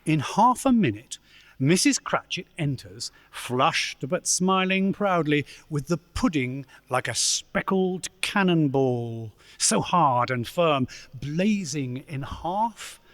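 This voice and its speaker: A man playing a storyteller, narrating a Victorian tale with theatrical, deliberate delivery in an echoey church. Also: on the high side, lively intonation, a bit bright. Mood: relishing. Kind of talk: dramatic scripted narration. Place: church, live performance. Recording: echoey church hall, long reverb.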